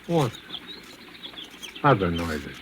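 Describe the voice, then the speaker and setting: Rattled guilty master of the house, super slow halting talk, old radio play with a bit of hiss. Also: male voice, deep voice, very animated, exaggerated voice. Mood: wary. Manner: hesitant, halting, brooding. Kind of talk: 1940s radio drama acting. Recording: faintly noisy vintage 1940s radio recording.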